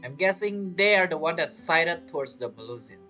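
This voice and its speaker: Low-voiced woman giving chatty game commentary. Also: lively intonation, a little fast, sharp tone, soft background music. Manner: chatty, musing aloud. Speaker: gaming streamer. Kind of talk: casual game playthrough commentary.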